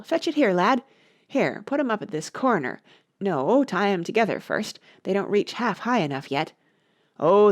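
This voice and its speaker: A woman voicing rustic male animal workers in a storybook, calling out and bickering. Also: mid-range voice. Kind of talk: storybook character voices.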